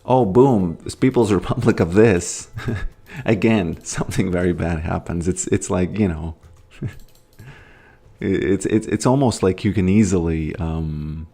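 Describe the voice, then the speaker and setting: Low-voiced man streaming a game. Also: unhurried, dark tone. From Latvia. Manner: rambling, musing, greets chat. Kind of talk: casual gaming livestream chat.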